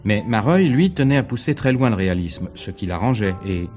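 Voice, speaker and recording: A discreet theatre agent in an old-style radio mystery. His voice is very low, his phrasing measured and guarded, with faint music underneath. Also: sing-song, darkish tone. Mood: explanatory. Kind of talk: 1950s French radio mystery acting.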